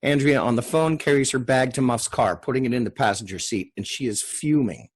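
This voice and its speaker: Guy reading stage directions at a table read, husky, mid pitch. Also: brisk. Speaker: narrator and reader at table read. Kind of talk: scripted narration of screen directions.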